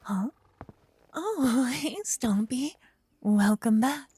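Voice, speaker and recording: Soft, breathy adorable paladin girl, acted by a woman. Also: on the high side, drawn-out words, dry recording, heavily acted. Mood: fond, playful. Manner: playful, soft, stammering when flustered. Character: adorable young woman, aspiring paladin, caring, flustered, teasing. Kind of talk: ASMR roleplay acting.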